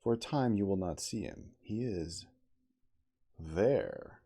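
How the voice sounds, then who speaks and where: A man's voice: sits low, animated, slow pace, dark tone, slightly rough, pitched above the performer's own voice, full character voice. A man voicing a bold castaway in a storybook reading, preaching solemnly and commandingly. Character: male castaway narrator boldly preaching law to beast-men.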